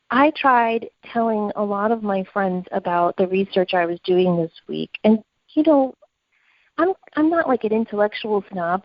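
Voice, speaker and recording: A woman's voice: mid-range voice, no room sound, dull audio. A woman co-hosting a podcast, talking in an earnest, reflective and opinionated conversational way. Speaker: podcast co-host.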